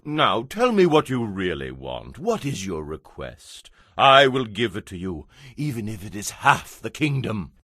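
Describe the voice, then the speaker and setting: A commanding, bright-voiced king. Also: on the low side, huge pitch swings, unhurried, dry recording, clean studio sound, exaggerated voice. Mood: grand, generous. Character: powerful Persian king, commanding and imperious. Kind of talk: dramatized audio Bible acting.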